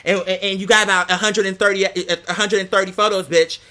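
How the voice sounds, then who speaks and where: A man's voice: high voice, flat delivery, brisk, bright, forceful tone. An American man telling a casual story as a rant to viewers, with a sassy, theatrical, slang-heavy way of talking. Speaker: vlogger.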